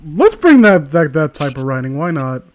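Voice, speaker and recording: Male co-host, high-pitched, foul-mouthed teasing in loose group chat, dry recording. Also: very animated, moderate pace, no echo. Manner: sweary, mocking banter. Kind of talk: casual group chat and commentary on amateur fiction read aloud.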